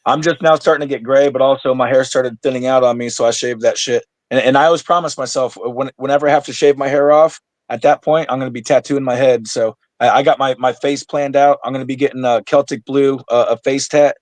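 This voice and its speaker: A man's voice: mid-range voice, fairly even intonation, fairly quick, hardly any pauses, no echo. A man of thirty-nine, a podcast guest in casual chat, blunt and swearing freely, stumbling over restarts. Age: 30s. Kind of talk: casual conversation.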